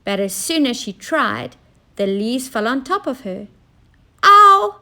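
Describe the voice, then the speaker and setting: Casting: female storyteller for young children, higher voice, unhurried, expressive scripted narration. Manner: expressive, deliberate. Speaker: teacher reading a children's story.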